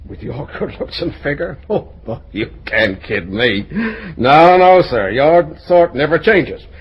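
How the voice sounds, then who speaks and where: An exasperated middle-aged dad in an old radio comedy. His voice is husky and fairly high, swinging up and down as he blusters. He stumbles over words when flustered. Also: lively intonation, a little slow, full character voice. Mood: jovial, teasing. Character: exasperated middle-aged father fond of a drink.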